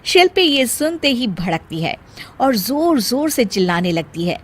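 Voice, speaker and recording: A woman's voice: on the high side, very animated, measured pace, slightly rough. A woman narrating a scripted moral story as its storyteller.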